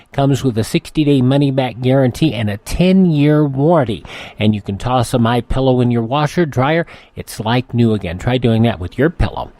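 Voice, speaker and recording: A man's voice: mid-range voice, mellow, dry recording. A man delivering a scripted radio advertisement with direct, repetitive selling. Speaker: advertising pitchman.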